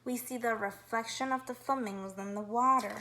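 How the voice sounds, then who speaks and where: A woman's voice: a little high, steady tone, brisk, pushed and bright, a little hiss or hum. A woman kindergarten teacher leading a read-aloud lesson, upbeat and instructive, asking guiding questions.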